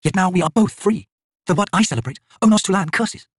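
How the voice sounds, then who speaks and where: A man's voice: sits high, a little fast, husky, no echo, studio quality, voice pushed up. A man voicing a proud, bitter female shaman in a fantasy audiobook, with intense, emphatic delivery. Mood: bitter defiance.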